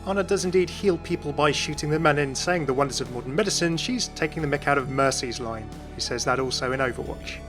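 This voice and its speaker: Fast-talking British man, higher-pitched voice. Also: over music. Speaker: video host. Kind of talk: scripted narration, game commentary.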